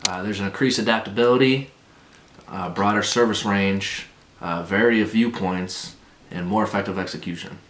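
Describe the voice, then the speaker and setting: A young man from Wisconsin, an accounting student answering interview questions in a relaxed way with frequent "uh" fillers. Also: on the low side, moderate pace. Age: young adult. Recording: echoey room.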